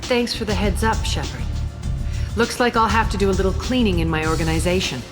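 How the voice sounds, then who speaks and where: Woman doing an imperious alien crime queen, sardonic and unhurried, video game, music thumping behind her. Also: medium pitch, natural voice. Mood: cold, irritated. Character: cool, commanding female alien crime boss.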